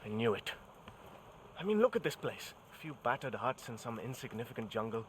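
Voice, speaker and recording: An actor playing a loyal, wry adventuring companion in a video game, speaking earnestly with dry humor. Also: male voice, mid-range voice, very animated, a little fast, a little hiss or hum, lightly acted, poor audio. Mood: worried, resolute. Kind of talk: dramatic video game acting.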